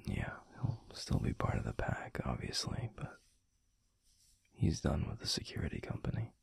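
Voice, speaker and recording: A man playing a gentle, protective werewolf boyfriend in an intimate audio roleplay, murmuring softly and soothingly with a breathy, gravelly voice. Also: rumbling low, sing-song, whispered, full character voice. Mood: subdued, matter-of-fact. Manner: slow, soothing, unhurried. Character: gentle, protective adult male werewolf boyfriend, pack leader.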